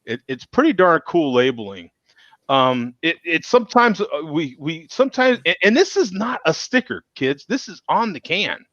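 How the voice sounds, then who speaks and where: Male host, highish lively voice, folksy casual chatter, repeats himself, dry but slightly dull sound. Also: moderate pace, no echo. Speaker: show host. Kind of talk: casual chat.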